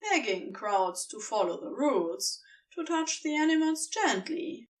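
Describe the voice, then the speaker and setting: A woman reading fiction as an audiobook narrator, with deliberate storytelling delivery and dramatic pauses. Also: sits high, very animated, recorded from a distance. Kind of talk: expressive scripted fiction narration.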